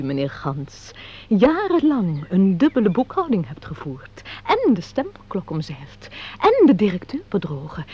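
An actress playing an imperious, scheming widow and company director in a radio play, haughty and formal in delivery. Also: female voice, fairly high pitch, exaggerated voice. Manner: haughty, formal, theatrical declamation. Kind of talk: Dutch radio play acting. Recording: dull, muffled radio play recording.

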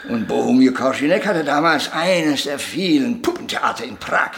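An actor playing a proud, prickly old puppeteer in a radio drama, telling anecdotes theatrically and emphatically. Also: male voice, medium pitch, animated, normal speed, creaky, fairly bright tone, slight background noise. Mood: relishing the anecdote. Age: older.

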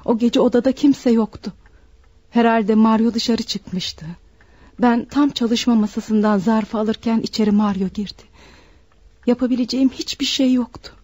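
An actress playing a desperate, bitter servant woman confessing to murder in a deliberate, dramatic radio play style. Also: a little high, steady tone, darkish tone, dry recording, close to an everyday voice. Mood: resigned. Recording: dull, dry radio recording.

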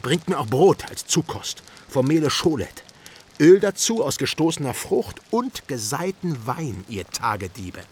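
An actor playing a boastful, rough hunter son who cries out theatrically to onlookers in a radio drama. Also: male voice, medium pitch, sing-song, unhurried, a little mellow, a little hiss or hum. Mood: boastful jeering. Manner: theatrical crying out of mocking orders.

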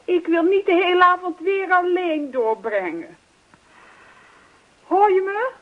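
Nagging wife, neglected and insistent, very lively melody, radio play, muffled lo-fi sound. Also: female voice, very high voice, slow, heavily acted. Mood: reproachful, nagging. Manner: calling out, reproachful questioning. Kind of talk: Dutch radio play acting. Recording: dull lo-fi old radio recording.

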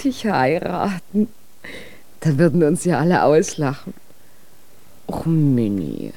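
A woman's voice: sits low, sing-song, slow, vocal fry, dark tone, no room sound, full character voice. An actress playing a frank, teasing, pitying young woman in a German children's radio play. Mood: pitying, dismissive. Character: frank young woman, kindly but dismissive friend.

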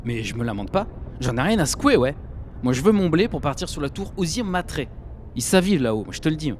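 An actor playing a cynical, restless young conscript in an audio drama, slangy and scornful. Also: male voice, a little high, sing-song, quick pace, slight background noise. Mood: irritated.

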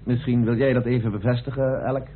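An actor playing a methodical, insistent amateur detective in a radio play, speaking deliberately with theatrical pauses as he accuses. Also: medium pitch, fairly even intonation, slight background noise, close to an everyday voice. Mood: challenging, insistent. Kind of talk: radio play acting in Dutch. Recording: muffled old radio drama recording.

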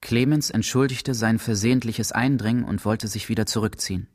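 A man's voice: fairly low pitch, brisk. A man reading scripted literary narration as the narrator of a radio drama.

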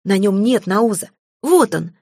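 A woman reading an audiobook, voicing a fierce, defiant young red-haired woman who shouts in a husky voice. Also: mid-range voice, lively intonation, unhurried, dry recording, studio quality. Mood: triumphant.

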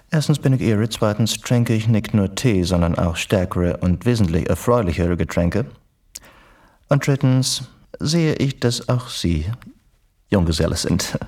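A man's voice: fairly low pitch, a little mellow. A man playing an eccentric, erudite English reporter and secret agent in a German radio play, with an urbane, lecturing, sardonic manner. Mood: wry, self-assured. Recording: slightly distant radio play recording.

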